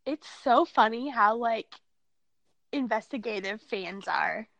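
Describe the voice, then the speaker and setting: A woman's voice: on the high side, takes it slowly, fairly bright tone, dry recording, lo-fi sound. A woman with a Minnesotan accent co-hosting a podcast, chatting in an upbeat, jokey way. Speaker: podcast co-host. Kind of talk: casual chat.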